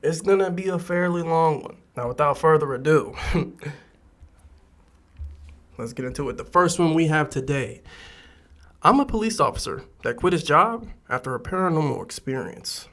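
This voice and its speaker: A man's voice: on the high side, animated, normal speed, pauses often, a bit bright. A man chatting casually and playfully to viewers as a podcast host, in a slangy, rambling way. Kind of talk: casual comedic chat to viewers. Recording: music playing behind the voice. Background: music.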